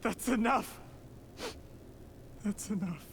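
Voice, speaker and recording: A man's voice: high voice, slow pace. An actor playing a cornered, ambitious schemer in a video game, defensive and pleading as he tries to bargain. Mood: distraught. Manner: pleading, bargaining, self-justifying. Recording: clear background noise, game ambience.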